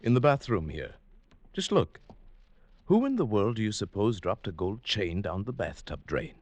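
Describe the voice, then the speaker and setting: An actor playing a meek, middle-aged henpecked professor with secret murderous plans, in a mild, deliberate 1940s radio suspense style. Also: male voice, on the low side, big pitch swings. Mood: coaxing. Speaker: actor, lead and narrator. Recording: vintage 1940s radio broadcast, faint music.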